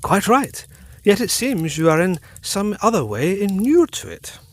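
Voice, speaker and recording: A learned victorian doctor, pedantic n excitable, highish voice swinging all over, gothic radio play. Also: male voice, unhurried, no echo, heavily acted. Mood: earnest, speculative. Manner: pedantic, emphatic, theatrical. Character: learned, excitable male doctor pondering mysteries. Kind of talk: period gothic audio drama acting.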